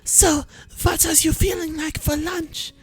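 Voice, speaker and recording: A man voicing a cheerful young woman on a lunch date, in a bright sing-song falsetto. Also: little pitch movement, measured pace, faint music behind.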